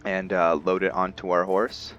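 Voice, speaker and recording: A man giving casual commentary as he plays a roleplaying game, thinking aloud in a halting way. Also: deep voice, flat delivery, a bit bright, lo-fi sound, faint music behind. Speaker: gameplay host.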